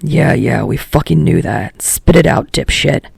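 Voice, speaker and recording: A woman voicing a gruff, foul-mouthed teenage boy with a rough growl and a curt, irritable manner in an audio story. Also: a bass voice, big pitch swings, measured pace, a little mellow, dry recording, studio quality, voice pushed down, full character voice. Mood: blunt, exasperated. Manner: curt, snapping, swearing.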